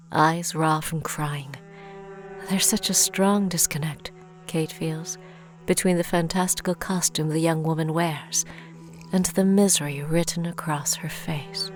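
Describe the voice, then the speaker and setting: A woman reading scripted dramatic narration as the narrator of an audio drama. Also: deep voice. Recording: music behind the voice.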